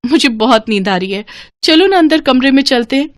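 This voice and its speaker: A woman's voice: sits high, animated, a little fast, no room sound. A woman voicing a coy, deceitful young witch bride in a dramatised story, plaintive and coaxing. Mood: coy insistence. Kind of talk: dramatised Hindi moral story.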